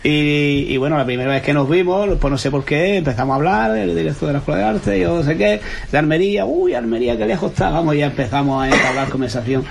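A man hosting a casual live-stream chat, agreeable and quick to affirm his guest. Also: on the high side, expressive, gravelly, slight background noise. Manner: agreeable, frequent affirming interjections. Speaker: host, former art school director.

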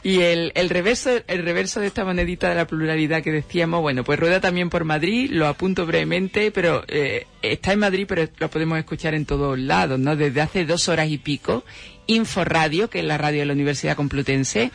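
A man with a Spain Spanish accent giving halting radio commentary, often tagging his points with short rhetorical questions. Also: fairly high pitch, gravelly, a bit bright. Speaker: radio show contributor. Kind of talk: semi-scripted radio commentary in conversation.

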